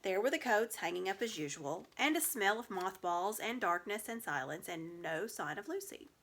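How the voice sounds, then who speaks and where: Female storybook reader, expressive read-aloud, medium pitch with very lively intonation. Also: normal speed.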